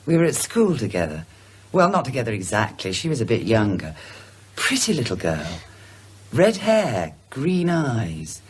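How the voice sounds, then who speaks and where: A woman's voice: a bass voice, sing-song, a little slow, darkish tone, slightly rough, full character voice. An actress playing an inquisitive, decisive married woman in a British radio drama, speaking in a musing, emphatic way. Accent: British English.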